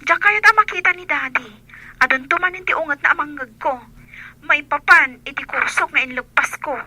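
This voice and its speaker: A woman's voice: sits high, brisk, sharp tone, poor audio. A woman acting a young adult daughter in an Ilocano radio drama, sad and affectionate, earnest and plaintive, with an Ilocano Filipino accent. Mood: regretful.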